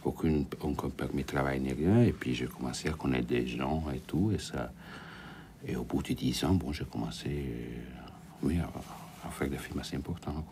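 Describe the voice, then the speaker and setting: A man from Ticino with a very low voice recounts his path as a cinematographer for a radio interview. He speaks openly, his sentences sometimes fading out. A faint hiss runs underneath. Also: normal speed, creaky, a little mellow. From Ticino, Switzerland. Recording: radio studio with faint background noise.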